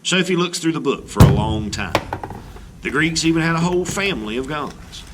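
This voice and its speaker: A man narrating a children's storybook in an expressive read-aloud style, as a teacher would. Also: on the high side, normal speed, very noisy. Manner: expressive, animated delivery. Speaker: art teacher reading a children's book aloud.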